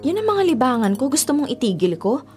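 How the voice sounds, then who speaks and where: A woman's voice: high voice, very animated, fast talker, a little mellow, soft background music. A woman with a Filipino accent playing a defiant, argumentative young mother hooked on gambling in a radio drama. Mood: indignant. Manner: argumentative, emphatic.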